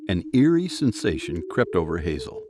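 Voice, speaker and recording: A male narrator with a fairly deep voice and very lively intonation. He reads a scripted story for an audio drama. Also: normal speed, background noise.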